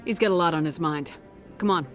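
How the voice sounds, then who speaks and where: An actress playing a determined, blunt human military commander in a video game, speaking firmly and directly. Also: female voice, lowish voice, fairly quick, lightly acted. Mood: restrained. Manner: firm, direct. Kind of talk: dramatic video game acting. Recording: film music behind.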